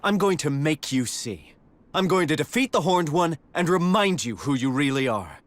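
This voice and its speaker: A man's voice: fairly high pitch, animated, moderate pace, no echo, lightly acted. An actor playing a noble, resolute young king in a video game, speaking with earnest heroic conviction. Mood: earnest pleading. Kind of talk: dramatic video game voice acting.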